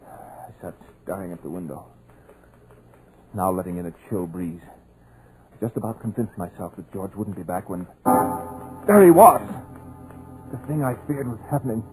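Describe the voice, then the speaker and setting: A man's voice: low voice, expressive, normal speed, pauses often, poor audio. An actor playing a terrified, paralyzed male writer narrating in a fearful voice, in 1940s radio acting. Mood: dread. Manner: frantic then hushed, fearful narration. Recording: old radio recording with music.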